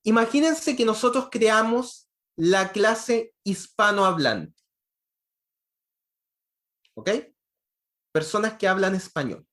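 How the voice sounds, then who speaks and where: A man's voice: sits high, lively intonation, slow pace, pauses often, a bit bright. A man giving an online university lecture on programming, explaining step by step and checking in with frequent rhetorical questions.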